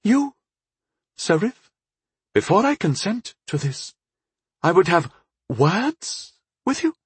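A man's voice: high voice, very animated, slow pace, breathy edge, no echo, pitched above the performer's own voice, exaggerated voice. A man voicing a proud, reluctant young elven maiden in a fantasy audiobook, with hesitant, formal speech. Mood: guarded. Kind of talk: audiobook character voice.

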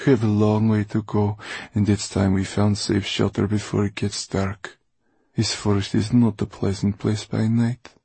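A man voicing a domineering, ruthless older woman villain in an audiobook, sharp, scornful and commanding. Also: fairly low pitch, level tone, unhurried, creaky, husky, no room sound, exaggerated voice. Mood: brisk, commanding. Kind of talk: audiobook character acting.

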